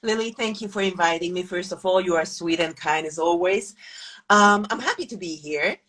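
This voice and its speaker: Lower-voiced woman interview guest. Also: normal speed, a bit bright. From Hungary. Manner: hesitant, many fillers and restarts. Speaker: guest, food tour company founder. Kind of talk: casual interview talk.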